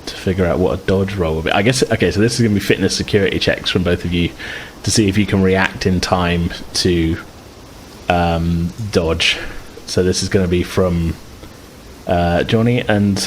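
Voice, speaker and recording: A man's voice: deep voice, slight background noise, rough recording. A man improvising narration as the game master of a tabletop game, hesitantly piecing his phrases together.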